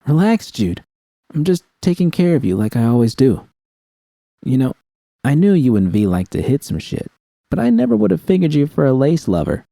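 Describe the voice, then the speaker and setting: A man's voice: mid-range voice, very animated, normal speed, creaky, mellow, no room sound, voice pushed up. A man voicing a teasing, reassuring woman with a coaxing, seductive manner in an audiobook story. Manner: coaxing, purring.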